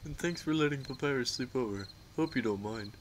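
Woman doing a very deep laid-back skeleton guy. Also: fairly quick, noisy background. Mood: easygoing. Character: laid-back male skeleton joker, casual and friendly. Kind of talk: comic dub voice acting. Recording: music playing behind.

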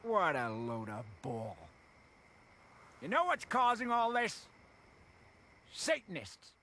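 A man playing a gruff, stubborn middle-aged sheriff with a folksy Southern US drawl in a video game. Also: high-pitched, huge pitch swings, slow pace, bright, forceful tone, exaggerated voice. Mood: grumbling. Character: gruff, stubborn small-town American sheriff. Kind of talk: video game cutscene acting.

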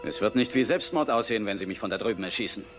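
An actor playing a cool, dry-witted secret agent with a terse manner in a dubbed film. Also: male voice, lowish voice, lively intonation, very fast, close to an everyday voice. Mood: dry, guarded. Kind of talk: German-dubbed film acting. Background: film score music.

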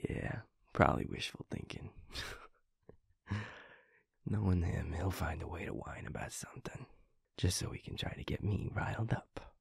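A man playing a teasing, affectionate werewolf boyfriend in an intimate audio roleplay, with a breathy, husky voice and a murmuring delivery. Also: deep voice, no echo, exaggerated voice. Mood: amused, relieved. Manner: slow, murmuring, chuckling, playfully teasing. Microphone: close studio mic, dry.

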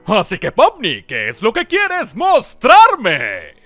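A man's voice: high voice, huge pitch swings, slow, fairly bright tone, no echo, soft background music, full character voice. A man voicing a flamboyant, eager cartoon ringmaster with a showy, grandiloquent way of talking. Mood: excited, eager. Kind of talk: theatrical cartoon dub acting.

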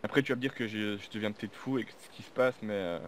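Man playing uneasy zombie survivor, quick rambling talk, lowish voice, urgent, informal game roleplay. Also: steady tone, lightly acted. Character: uneasy, talkative male zombie-apocalypse survivor. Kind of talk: improvised French video game roleplay. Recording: background noise under the voice.

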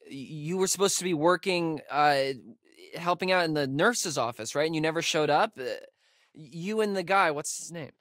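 A man's voice: fairly high pitch, moderate pace, fairly bright tone, no room sound, clean studio sound, natural voice. A young man with an American accent acting a wisecracking, nervy camp counselor in a video game, with casual, hesitant delivery. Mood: astonished.

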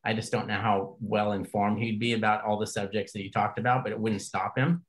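A man's voice: lowish voice, steady tone, a little fast. An older man hosting a motorsport podcast, introducing and questioning a guest in a rambling, folksy, joking way. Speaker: podcast host. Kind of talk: casual podcast interview.

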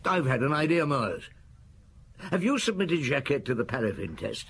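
An actor playing a gruff, grumpy chief inspector in a radio drama, probing and hesitant, with sighs and murmured hums. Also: male voice, medium pitch, sing-song, normal speed, a bit bright. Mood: businesslike. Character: gruff, grumpy French police chief inspector, pipe smoker. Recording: noisy old radio drama broadcast.

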